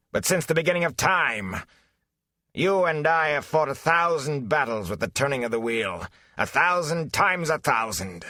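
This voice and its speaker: A man playing a menacing, mocking villain who serves darkness, theatrical and forceful, in a fantasy audiobook. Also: medium pitch, dry recording, studio quality, voice pushed up. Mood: furious. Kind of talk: fantasy audiobook character acting.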